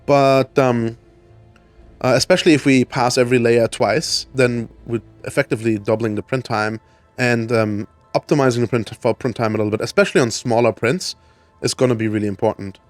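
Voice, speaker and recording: A man from Germany in his thirties talks through a building project in a video game, his voice raspy as he gets over a cold. He thinks aloud, restarting sentences and filling gaps with ums, at a mid pitch. Faint music plays underneath. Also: fairly even intonation, brisk, no room sound. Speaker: let's play host. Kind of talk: casual gameplay walkthrough.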